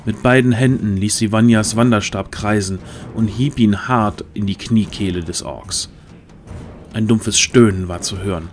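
A man reading scripted, dramatic fantasy narration as the narrator of an audio drama. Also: lowish voice.

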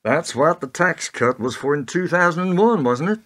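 Radio drama: male actor as a sardonic, questioning American President, slightly high voice with lively swings. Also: normal speed. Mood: bitterly sardonic. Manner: pointed, questioning, wry. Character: sardonic, probing male President of the United States. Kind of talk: radio play acting.

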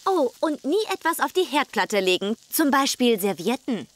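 A woman playing a brisk, capable firefighter in a children's cartoon. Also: on the high side, lively intonation. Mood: alert. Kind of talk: German-dubbed children's cartoon acting. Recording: loud music behind the voice.

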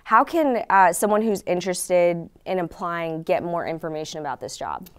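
American woman host, clear, slightly low voice, casual interviewing. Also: animated, moderate pace. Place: indoor TV studio at a desk.

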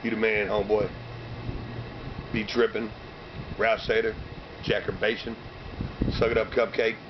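A man in his thirties with a hoarse voice, rambling through casual slang-heavy shout-outs outdoors on a cold day, recorded by a camera a few meters away. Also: on the low side. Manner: loose slang-heavy shout-outs, drinking between lines. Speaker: vlogger. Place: outdoors by bare trees and fields on a cold sunny day. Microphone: camera mic from a few meters away. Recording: dull lo-fi camera audio with outdoor noise.

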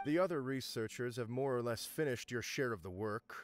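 A man's voice: medium pitch, brisk, soft background music, close to an everyday voice. A man voicing a stern, formal middle-aged male academic supervisor in a video game. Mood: businesslike.

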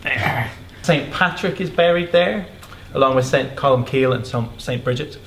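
A man's voice: mid-range voice, normal speed, slight background noise. A Northern Irish man, an actor, telling a wry anecdote to his cast. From Downpatrick, Northern Ireland. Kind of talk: casual anecdote.

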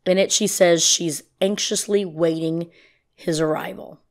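A woman with a fairly low voice gives informal commentary as a host. She takes her time, speaking at a very unhurried pace. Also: steady tone.

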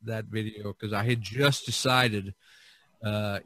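Male podcast host, middle-aged, bright and dry-miked, conversational, loose and repetitive storytelling. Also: lowish voice, slow pace, no room sound. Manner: rambling, repeats himself, animated when quoting others. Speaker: podcast host, visual artist.

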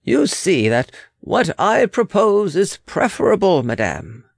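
A man's voice: high voice, big pitch swings, slow, creaky, breathy edge, dry recording, voice pushed up, full character voice. A man voicing a formal, deferential but insistent lawyer and court official in a dramatic audiobook. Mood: defensive. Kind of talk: dramatic audiobook character reading.

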